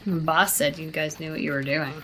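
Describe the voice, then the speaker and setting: A woman's voice: deep voice, steady tone, a little fast, pushed and bright. A woman playing a nagging, cheeky young male recruit in a tabletop game, questioning and talking back. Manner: pestering, sarcastic back-talk.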